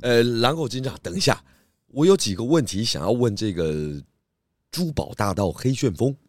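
A man with a Taiwanese accent voicing a clever, calm pig detective in a children's audio drama, patiently explaining clues. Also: mid-range voice, normal speed, soft background music, close to an everyday voice. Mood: calm probing.

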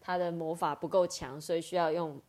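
A woman's voice: lowish voice, steady tone, fairly quick, a little mellow. A woman co-host chatting casually and playfully, retelling a story and switching between two languages. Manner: switches between Mandarin and English. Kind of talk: casual playful bilingual chat.

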